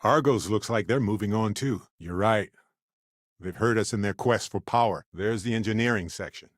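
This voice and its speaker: A man's voice: lowish voice, normal speed, dry recording, studio quality, close to an everyday voice. A man voicing a wry, good-hearted space explorer companion in a video game, conversational and earnest. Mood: wry, easygoing.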